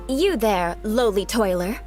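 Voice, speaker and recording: A woman's voice: fairly high pitch, exaggerated voice. A woman playing a proud, awkwardly earnest young noblewoman in a video game, with a pompous, formal manner. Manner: pompous, formal, archaic phrasing.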